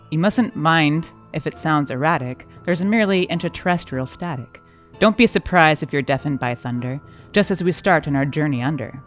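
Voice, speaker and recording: A man's voice: on the high side, fairly bright tone. Theatrical male narrator over music. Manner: storytelling, mock-grand. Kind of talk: theatrical rhymed radio-play narration.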